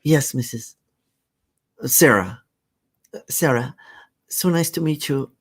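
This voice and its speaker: A man's voice: mid-range voice, takes it slowly, husky, voice pushed up. A man voicing a housekeeper woman in her thirties, prim and formal with a mannered put-on way of speaking.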